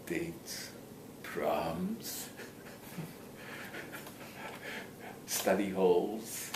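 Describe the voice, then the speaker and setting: Older male interviewee, slight Latvian accent, casual self-deprecating storyteller, roomy sound, away from mic. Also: deep voice. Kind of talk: casual reminiscence. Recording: echoey room, distant mic, noisy background.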